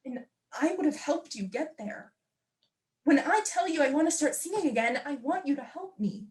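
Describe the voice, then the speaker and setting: A woman's voice: high voice, expressive, moderate pace, darkish tone. A young woman acting in a stage play as a defiant, wounded wife who longs to sing. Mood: pleading, firm. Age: young adult. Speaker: student actor.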